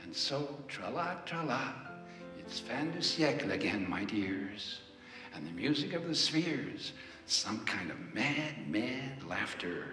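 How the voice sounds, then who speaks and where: A man's voice: medium pitch, very animated, slow pace, over loud music. A man reciting poetry in a theatrical, lilting way. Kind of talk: poetry reading. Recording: echoey hall with long reverb.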